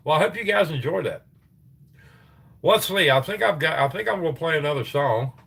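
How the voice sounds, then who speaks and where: A man's voice: fairly high pitch, lively intonation, unhurried, vocal fry, fairly bright tone, husky. Rambling middle-aged man hosting livestream. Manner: rambling, reads sources aloud, chats with viewers. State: voice tiring, gets hoarse. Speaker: livestream host. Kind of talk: casual livestream chat.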